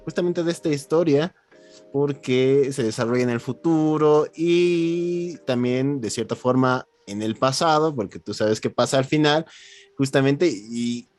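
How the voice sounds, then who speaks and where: Podcast host over music. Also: male voice, medium pitch, a little slow, a little mellow. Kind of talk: conversational literary interview. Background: background music.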